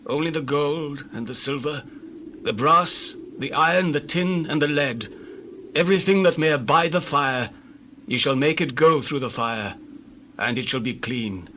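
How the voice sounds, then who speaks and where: Biblical priest, slightly high voice, solemn, formal, measured, faint noise, audio scripture drama. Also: male voice, sharp tone. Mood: solemn instruction. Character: Eleazar, solemn male priest instructing soldiers.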